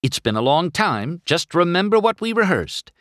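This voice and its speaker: A man voicing an upbeat female car in a children's story, rallying others in a brisk, encouraging manner. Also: high-pitched, sing-song, a bit bright, no room sound, clean studio sound, pitched above the performer's own voice. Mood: eager.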